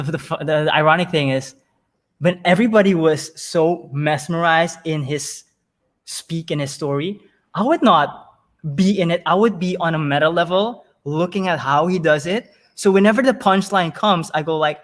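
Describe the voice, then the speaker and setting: Higher-voiced man, emphatic and conversational. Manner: emphatic, repeats words as thoughts form. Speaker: interview guest, storytelling and marketing entrepreneur. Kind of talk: conversational interview answers with personal anecdotes.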